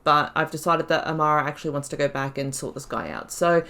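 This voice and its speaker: A woman hosts a video game playthrough in a slightly low voice. She is chatty and casual, thinking aloud. Her delivery has a giggly streak. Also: fast talker, fairly bright tone. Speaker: gaming YouTuber, host of a playthrough. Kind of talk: casual gameplay commentary.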